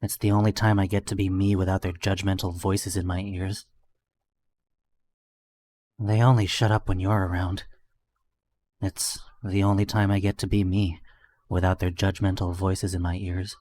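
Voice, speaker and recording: Brief: troubled young male antihero played by a man, low, subdued, earnest, confiding in the one person he trusts. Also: flat delivery, moderate pace, long pauses, darkish tone, a little hiss or hum, lightly acted. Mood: vulnerable, confiding. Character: broken young villain confiding in a safe person.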